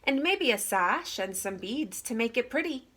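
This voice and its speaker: A woman voicing a sweet, hopeful, put-upon young heroine in a children's storybook, earnest and expressive. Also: a little high, moderate pace, pitched above the performer's own voice.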